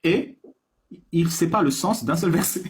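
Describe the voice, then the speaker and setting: A man's voice: a little high, a little slow, crowd noise. A man hosting a live stream debate, talking in a joking and provocative way.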